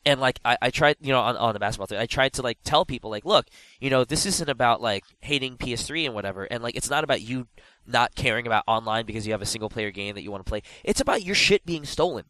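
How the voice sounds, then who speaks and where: A man with a stuffed nose, co-hosting a casual gaming podcast and talking in an animated, rambling way with many restarts. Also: medium pitch, fast talker, no room sound, low-quality recording. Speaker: podcast co-host.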